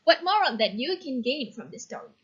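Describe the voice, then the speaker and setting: A woman teacher reading a story expressively and giving lesson instructions with clear, instructive phrasing. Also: high-pitched, big pitch swings, brisk, a bit bright, muffled recording.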